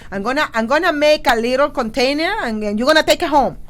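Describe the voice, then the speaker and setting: A woman's voice: high-pitched, fast talker, a bit bright. A woman from Venezuela, chatting animatedly on a radio talk show and repeating her phrases. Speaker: guest cook. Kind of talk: casual radio chat.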